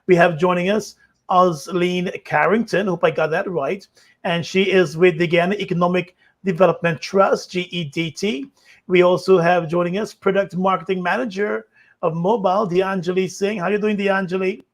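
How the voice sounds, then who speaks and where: A middle-aged man hosting a live online panel, relaxed and hesitant with many fillers. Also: high-pitched, moderate pace. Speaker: host. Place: indoors in front of a green screen.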